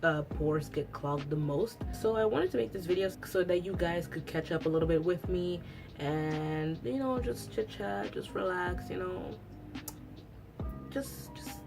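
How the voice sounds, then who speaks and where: A woman's voice: on the low side, gravelly. A young woman whose first language is Italian, chatting casually to camera at home, rambling with lots of restarts. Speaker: beauty vlogger. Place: at home after a shower.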